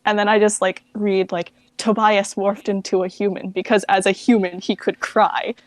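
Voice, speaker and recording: A woman's voice: mid-range voice, flat delivery, moderate pace. A woman chatting informally about books as an interview guest, often saying "like" as she goes. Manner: informal, peppered with "like". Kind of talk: casual chat about books.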